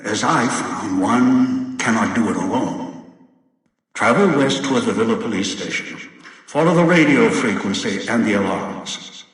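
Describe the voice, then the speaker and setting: An actor playing a cold, obsessive old male villain in a video game, giving orders in a deliberate, menacing, condescending way. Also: on the low side, lively intonation. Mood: stern, menacing. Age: older. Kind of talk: video game voice acting, monologue. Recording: very echoey, long reverb, distant.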